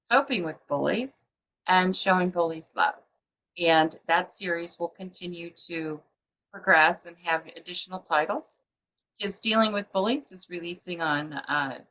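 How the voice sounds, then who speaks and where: A woman host with a low, forceful voice talks her way through a book show on a video call. She rambles along chattily and leans on plenty of "uh"s and "um"s. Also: rough recording. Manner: chatty, rambling, many fillers. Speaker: publisher hosting a book show. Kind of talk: casual interview chat.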